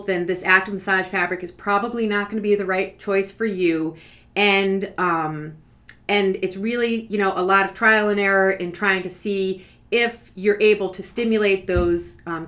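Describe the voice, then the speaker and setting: A woman's voice: medium pitch, fairly bright tone. Conversational woman explaining things informally. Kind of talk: informal explanatory presentation to camera. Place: small furnished room at home, indoors.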